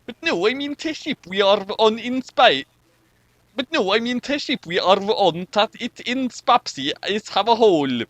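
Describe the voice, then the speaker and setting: A man playing an earnest, panicking starship crewman with an attempted Russian accent, halting and overdramatic. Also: sits high, animated, fairly bright tone, no echo, pitched above the performer's own voice. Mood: flustered.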